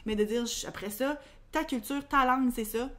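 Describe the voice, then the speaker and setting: Chatty Québécois woman, high voice. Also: fairly bright tone. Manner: chatty, quick, colloquial, many fillers. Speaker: podcast co-host. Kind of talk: casual chat.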